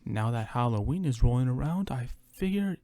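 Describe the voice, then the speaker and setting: A man's voice: mid-range voice, expressive, normal speed, mellow, no room sound. A man reading a scripted first-person story as a dramatic narrator, with theatrical storytelling delivery. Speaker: narrator reading his own horror story. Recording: music under the voice. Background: music.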